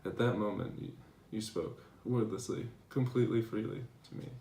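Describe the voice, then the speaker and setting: A man playing a devoted philosopher reading his tender love letters aloud in a measured, earnest dramatization. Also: on the low side, fairly even intonation, warm tone, close to an everyday voice. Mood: moved, solemn. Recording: echoey room, distant mic, faint noise.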